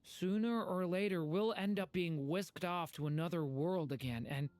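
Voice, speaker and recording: A woman voicing a gentle, reflective young dragon boy in a video game, speaking in a hesitant, earnest way. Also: on the low side, normal speed, natural voice. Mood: earnest, thoughtful.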